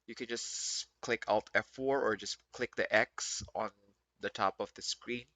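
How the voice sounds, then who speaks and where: A man's voice: fairly low pitch, fairly even intonation, a little slow, pushed and bright. A man chatting casually as he livestreams a video game, rambling and often rephrasing himself. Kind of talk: casual live stream chat. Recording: muffled lo-fi mic, faint music behind.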